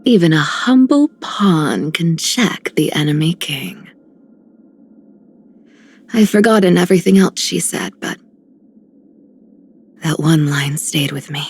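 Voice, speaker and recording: A woman's voice: low-pitched, lively intonation, takes it slowly, pauses often, slightly rough, exaggerated voice. An actress playing a laid-back, playful young woman in a game, musing as she talks. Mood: reflective. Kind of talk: scripted game character lines.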